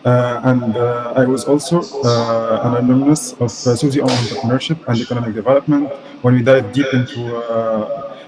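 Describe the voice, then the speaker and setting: Man from Tunisia, mid pitch, halting consultant, crowd in background. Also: fairly even intonation, over music, poor audio. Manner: halting, frequent "uh". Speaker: innovation consultant. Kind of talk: conference panel talk.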